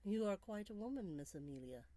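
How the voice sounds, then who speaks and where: A woman voicing a charming, intense Victorian gentleman in a storybook, courtly, romantic and deliberate. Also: medium pitch, expressive, no echo, close to an everyday voice. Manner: courtly, deliberate, sometimes hushed.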